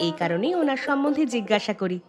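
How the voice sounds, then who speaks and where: A woman voicing a caring but mildly strict village schoolteacher in a cartoon, questioning and gently chiding. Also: fairly high pitch, lively intonation, quick pace, over music, close to an everyday voice. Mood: concerned, chiding. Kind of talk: Bengali cartoon dubbing.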